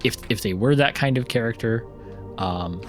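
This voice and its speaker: A man's voice: medium pitch, normal speed, soft background music. A man chatting casually while playing a game, rambling with frequent ums and restarts and occasional laughs. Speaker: gaming YouTuber and novelist.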